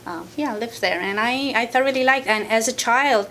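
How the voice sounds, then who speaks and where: A woman raised in Japan whose first language is Japanese, telling her story as a guest in a casual interview in a thoughtful, measured way. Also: fairly high pitch, fairly bright tone, slight background noise. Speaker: guest, Reiki practitioner.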